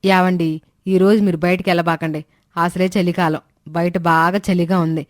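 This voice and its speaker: A woman's voice: fairly low pitch, flat delivery, normal speed, dry recording, clean studio sound, natural voice. Woman as an anxious older village wife. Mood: worried. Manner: fretful, lamenting. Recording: music bed under the voice. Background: background music.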